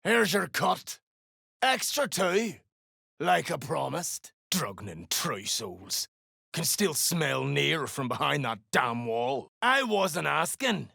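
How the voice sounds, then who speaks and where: A gruff, greedy dwarf mercenary for a fantasy game. The voice is heavily fried and gravelly, with a forceful bright edge. The coarse, threatening lines swing widely in pitch. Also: sing-song, takes it slowly, no echo, studio quality, full character voice. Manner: coarse, swearing, dialect-heavy threats. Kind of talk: video game character voice acting.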